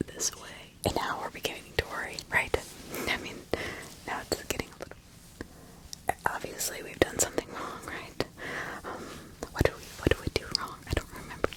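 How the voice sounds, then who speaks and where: A woman acts out a scared peasant accused of witchcraft in a whispered ASMR roleplay. The voice is all breath with no tone, halting and anxious, repeating itself and asking worried questions. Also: natural voice, rough recording. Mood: worried, confused. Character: frightened accused witch, a poor 1600s farmer.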